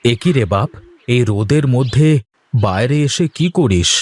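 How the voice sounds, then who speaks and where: A man's voice: medium pitch, lively intonation, measured pace, a little mellow, a little hiss or hum, close to an everyday voice. A man voicing an admonishing, plain-spoken village father in a cartoon. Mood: gently instructing. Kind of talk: cartoon dialogue acting.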